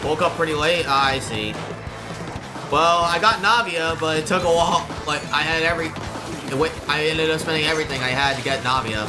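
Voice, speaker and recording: A man with a slightly high voice talks over clear game music on a casual stream. He rambles informally to his viewers and breaks into exclamations as he plays. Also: a little fast.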